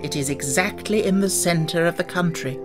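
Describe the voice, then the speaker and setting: A man's voice: fairly high pitch, moderate pace, music in the background, close to an everyday voice. A man voicing a kindly little old witch woman in an audiobook, solemn and reassuring in manner. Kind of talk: audiobook character voice.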